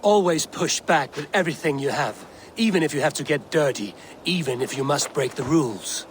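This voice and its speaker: A man's voice: on the high side, expressive, brisk, lightly acted. Man playing commanding Viking warrior, noisy backdrop. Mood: firm, encouraging. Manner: commanding, challenging. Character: tough Viking warrior leader, goading yet honorable mentor. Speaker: video game character. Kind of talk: dramatic video game acting. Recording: clearly noisy game background ambience.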